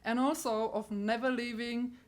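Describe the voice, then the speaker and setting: A middle-aged woman with a Czech accent, a missionary, giving a personal testimony to an audience and often pausing to search for words. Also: a little high, steady tone. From Czech Republic. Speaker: missionary speaker.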